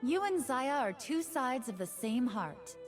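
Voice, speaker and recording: A woman's voice: high-pitched, very animated, measured pace. An actress playing an ancient nine-tailed fox woman in a video game, poised, teasing and tender, speaking scripted lines. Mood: tender, wistful. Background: music.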